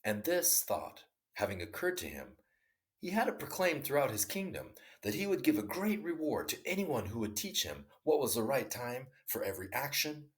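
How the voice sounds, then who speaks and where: Expressive male storyteller with a lively low voice. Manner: expressive, dramatic reading. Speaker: storyteller reading a classic tale aloud. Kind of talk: read-aloud story narration.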